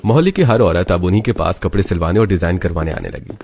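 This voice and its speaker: Deep-voiced man narrating a story. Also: animated, fast talker, vocal fry, darkish tone. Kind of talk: scripted Hindi cartoon story narration.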